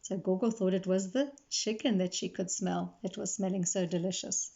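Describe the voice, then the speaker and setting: A woman reading a children's story aloud in an expressive, animated way, acting out the dialogue. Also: fairly low pitch, moderate pace, creaky, slight background noise. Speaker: storybook reader, librarian. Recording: dull, lo-fi sound.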